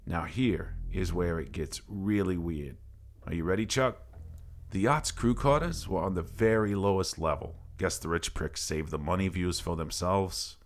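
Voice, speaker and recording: A man narrating a creepy first-person tale like a salty, colloquial barroom yarn, dramatic and gravelly, with swearing. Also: low voice, measured pace, a little hiss or hum. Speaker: horror story narrator. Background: faint ambient background music.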